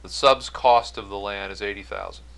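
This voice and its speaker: A man's voice: on the low side, fairly even intonation, normal speed, fairly bright tone, clear, resonant tone. A man giving an explanatory accounting-style lecture as an instructor, going step by step and stressing key phrases. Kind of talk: explanatory lecture over on-screen notes.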